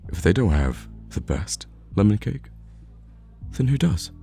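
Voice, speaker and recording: A man's voice: fairly low pitch, sing-song, unhurried, vocal fry, dark tone, faint music behind, full character voice. A man playing a playful, teasing young man bantering casually with a close friend in a conversational audio roleplay. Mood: playful disbelief. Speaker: ASMR roleplay voice actor. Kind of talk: conversational ASMR roleplay acting.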